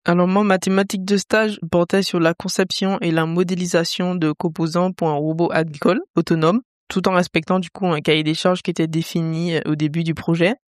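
A woman in her late twenties with a low, smooth and resonant voice speaks carefully, pausing often on small hesitations. Her tone stays flat, and the sound is dry and close. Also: no room sound. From Martinique. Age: 20s. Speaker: engineering master's student and intern.

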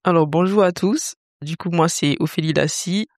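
A woman's voice: lowish voice, normal speed, a little mellow, no echo, clean studio sound. A woman in her twenties from Martinique, a master's student in engineering, talking carefully with frequent hesitations. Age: 20s.

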